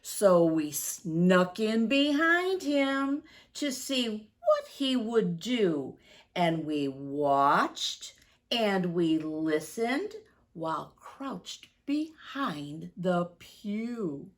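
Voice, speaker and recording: A woman, speaking deliberately and expressively to young children as a story-time teacher. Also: mid-range voice, sing-song. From United States, Midwest.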